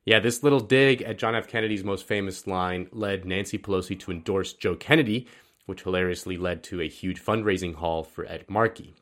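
A man's voice: low voice, fairly quick, fairly bright tone. A male host giving sarcastic political commentary, mocking and wry as he reads quotes. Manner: sardonic, mocking.

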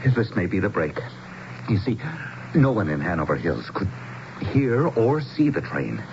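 An actor playing a genial, excitable middle-aged townsman with a hearty, eager manner in a radio drama. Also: low-pitched, measured pace, creaky, exaggerated voice. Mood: awed, delighted. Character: genial, excitable middle-aged man, neighbor or small-town mayor. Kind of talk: 1970s radio drama acting. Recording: dull, noisy 1970s radio broadcast.

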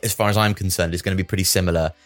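A man co-hosting a podcast, playful with quick retorts in casual chat. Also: deep voice, steady tone, no room sound, soft background music. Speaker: podcast co-host.

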